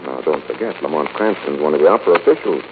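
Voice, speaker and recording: A self-possessed gentleman detective in an old radio mystery. His extremely low voice is calm and assured. The 1940s recording is narrow and clearly noisy. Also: fast talker. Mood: calm, confident. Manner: calm, confident, hushed instructions.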